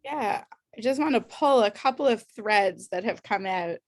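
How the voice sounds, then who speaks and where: A woman's voice: medium pitch, animated, normal speed, dry recording, studio quality. A woman facilitating a discussion workshop, explaining ideas thoughtfully with frequent ums and restarts.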